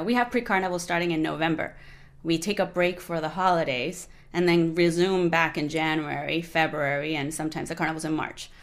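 Colombian woman in her 40s, Spanish-native, animated chatty guest, slightly low pitch. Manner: animated, lots of fillers like "you know". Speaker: guest musician. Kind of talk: casual interview conversation.

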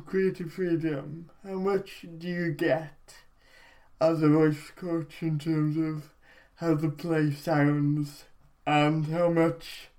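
A man interviewing a voice coach, asking slow questions full of ums. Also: fairly high pitch, a little mellow. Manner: slow, hesitant questions with fillers.

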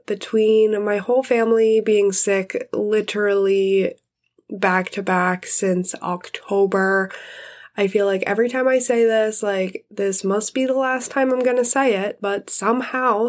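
A woman in her thirties hosting her podcast in casual, friendly chat, her voice congested from illness. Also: medium pitch. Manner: friendly, chatty. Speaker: podcast host.

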